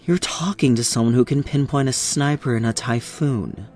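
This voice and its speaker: A man playing a stern, cryptic veteran soldier and mentor, lecturing in a measured, solemn way for a parody. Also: medium pitch, darkish tone, faint music behind, lightly acted. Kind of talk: parody dub acting.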